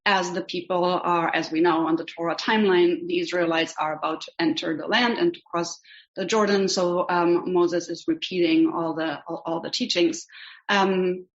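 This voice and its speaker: German-accented woman, halting and low-voiced. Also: fairly even intonation. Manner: hesitant, many ums. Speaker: rabbinical student teaching a class. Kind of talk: online class teaching. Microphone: video call. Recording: dull lo-fi video call audio.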